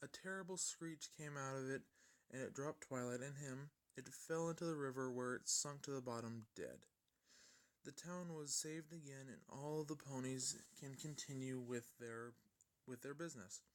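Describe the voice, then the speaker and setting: A man's voice: mid-range voice, normal speed. A man narrating a fan fiction story in an amateur style, often stumbling and rereading his lines.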